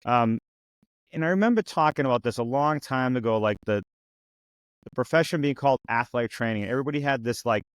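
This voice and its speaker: A man's voice: mid-range voice, brisk, clear, resonant tone, dry recording. A man around fifty hosting a podcast interview, talking casually and rambling a little, with frequent restarts. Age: 50s. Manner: relaxed, rambling with frequent restarts and "you know". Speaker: podcast host.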